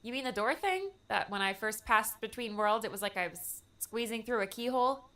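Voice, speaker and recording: A man's voice: sits high, expressive, moderate pace, pushed and bright, pitched above the performer's own voice, full character voice. A man voicing a nervous, curious young woman in an audiobook, with a hesitant, questioning manner. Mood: unsure. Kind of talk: audiobook character voice.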